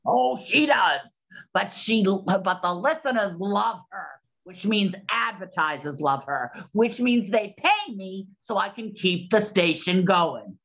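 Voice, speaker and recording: A woman's voice: medium pitch, animated, takes it slowly, a bit bright, muffled recording, full character voice. An actress playing a blunt, exasperated, wisecracking woman of the 1920s with sardonic, slangy speech in a period comedy. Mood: brusque, insistent. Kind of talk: period comedy radio-play acting.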